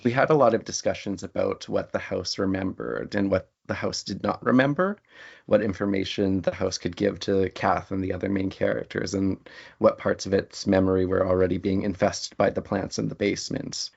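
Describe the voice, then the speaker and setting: A man with a gravelly, husky voice talking as a guest in a conversational interview. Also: deep voice, fairly even intonation, moderate pace. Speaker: interview guest, writer and academic. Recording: slightly dull, lo-fi audio.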